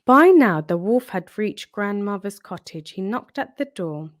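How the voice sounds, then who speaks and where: A woman storyteller narrating a children's storybook in an expressive read-aloud style. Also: mid-range voice, a little slow, no echo, studio quality.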